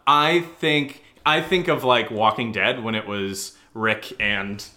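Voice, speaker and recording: A man with a bright, forceful voice chats casually as a podcast co-host. He is animated and likes to tease. The room around him adds a clear echo. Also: lowish voice, slow. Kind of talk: casual banter answering listener questions. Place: indoor room, live-streamed session. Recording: roomy, echoing room sound.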